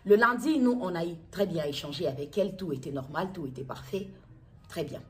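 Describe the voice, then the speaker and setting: A middle-aged woman with a Congolese accent from Kinshasa gives an unscripted personal account as a mother, speaking haltingly and repeating and restating her phrases. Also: fairly low pitch, expressive. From Democratic Republic of the Congo. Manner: halting, repetitive, circles back to restate phrases. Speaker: mother making a public appeal video. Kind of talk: personal testimony to camera, unscripted recounting.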